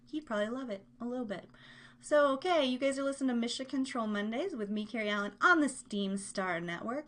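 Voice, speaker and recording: Casual, higher-voiced woman hosting live radio. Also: a bit bright, slight background noise. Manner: informal, chatty. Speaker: radio show host. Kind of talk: casual live music show chat.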